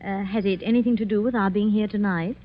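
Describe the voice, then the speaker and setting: A woman's voice: medium pitch, steady tone, quick pace, lightly acted. An actress playing an arch, drily witty, slightly nervy lady mystery writer in a 1940s radio drama. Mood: defensive, unsettled. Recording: muffled, hissy vintage 1940s radio recording.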